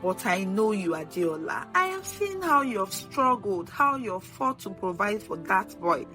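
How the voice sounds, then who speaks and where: A woman's voice: mid-range voice, big pitch swings, moderate pace, pushed and bright, soft background music. A woman voicing an elderly Nigerian grandmother in a folktale, firm, wise and given to exclamations. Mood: calm, firm. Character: elderly Nigerian grandmother, calm, firm, proverb-speaking, easily shocked.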